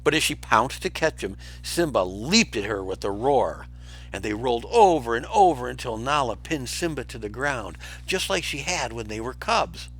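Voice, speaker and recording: A man reading a children's story aloud in an expressive, deliberate, dramatic way, as a teacher does for pupils. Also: medium pitch, slightly rough, poor audio.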